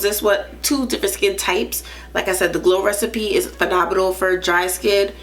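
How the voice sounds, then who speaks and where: Stuffy-nosed woman chatting to camera. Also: fairly low pitch. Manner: chatty, says "right?" often. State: stuffed up, nasal. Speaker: beauty vlogger. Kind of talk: casual product review to camera. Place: small indoor room, plain wall.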